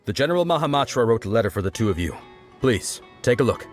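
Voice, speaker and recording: An actor playing a dutiful, courteous guard officer in a video game, speaking formally and earnestly. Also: male voice, medium pitch, sing-song, fast, soft background music, close to an everyday voice. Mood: courteous, relieved.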